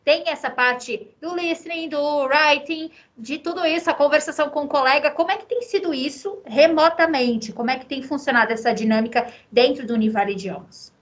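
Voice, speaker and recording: A woman's voice: high-pitched, a little fast, hardly any pauses. A woman hosting a program, interviewing a guest in a polite, formal way. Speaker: program host. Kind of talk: interview host.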